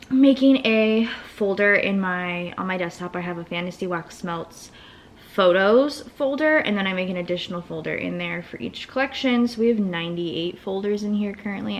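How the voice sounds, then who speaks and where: A young woman chatting casually at home in a vlog, rambling and thinking out loud about her day and small business work. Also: mid-range voice, a little hiss or hum. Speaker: vlogger and small business owner.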